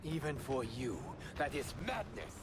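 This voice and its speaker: An actor playing a defiant, earnest young warrior monk in a video game. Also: male voice, mid-range voice, sing-song, measured pace. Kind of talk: video game cutscene acting. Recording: loud music behind the voice. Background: loud cinematic score.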